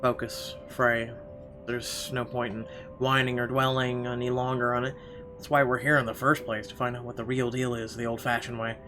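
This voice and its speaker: A man narrating a visual novel as a let's player, reading aloud a little haltingly with casual asides. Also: medium pitch, flat delivery. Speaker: gamer reading the story aloud. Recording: game music clearly behind the voice.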